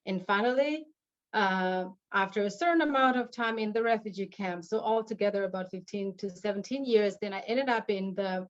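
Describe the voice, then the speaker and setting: Woman from on a video call, earnest and halting, lots of restarts, kinda muffled dull audio. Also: mid-range voice. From Burma. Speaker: guest speaker. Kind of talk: personal story at an online panel.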